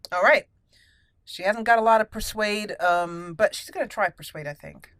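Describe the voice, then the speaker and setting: A woman's voice: mid-range voice, moderate pace, a bit bright, dry recording. A woman hosting a roleplay let's play, chatty and playful, thinking aloud as she comments on the game. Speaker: YouTube gaming host.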